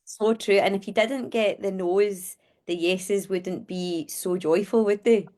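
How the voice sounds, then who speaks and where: A woman hosting a casual interview, encouraging and quick to agree. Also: mid-range voice, mellow.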